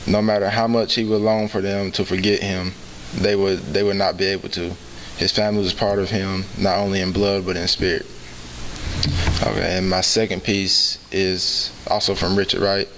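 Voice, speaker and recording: A man's voice: deep voice, level tone, normal speed, fairly bright tone. A young man reading prose aloud at a university read-in, hesitant with many ums as he introduces it. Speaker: student reader. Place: university event hall. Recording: hissy event recording with long hall reverb.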